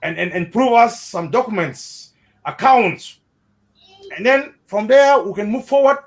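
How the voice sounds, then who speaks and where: Animated South Sudanese man, high lively voice. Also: a little slow. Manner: animated, repeats words, mixes in Arabic phrases. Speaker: interviewee. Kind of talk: casual spoken commentary.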